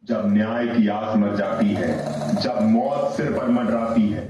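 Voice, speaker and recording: A man acting as a solemn stage-play narrator, speaking in rhetorical, deliberate, declamatory phrases. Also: on the low side, background noise, natural voice. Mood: rousing, invoking. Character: solemn male narrator calling society to rise. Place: stage in an echoing hall. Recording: echoey hall from far off, muffled.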